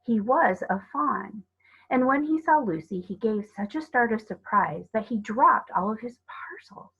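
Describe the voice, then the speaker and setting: A woman's voice: on the high side, animated, measured pace, fairly bright tone, husky. A woman narrating a children's story dramatically, dropping into whispers for emphasis, on a slightly dull recording. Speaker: storybook reader.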